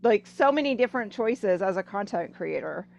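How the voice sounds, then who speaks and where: A woman's voice: medium pitch, animated, moderate pace, creaky, a little mellow. A woman hosting a casual interview over a video call, chatty and full of "I mean" and "you know". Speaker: podcast host.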